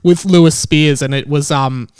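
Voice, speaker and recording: A man's voice: fairly high pitch, steady tone, normal speed, smooth. Young man joking on a comedy podcast. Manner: joking, often breaking into laughter. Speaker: podcast host. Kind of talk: casual comedic chat.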